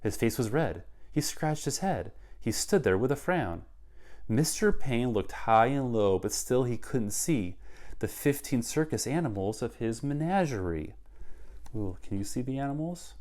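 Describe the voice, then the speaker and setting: A middle-aged man reads a children's picture book aloud. His pitch is medium and moves widely as he dramatizes the rhyming story. The delivery is animated and expressive. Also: a little slow. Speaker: read-aloud guest reader. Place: child's bedroom.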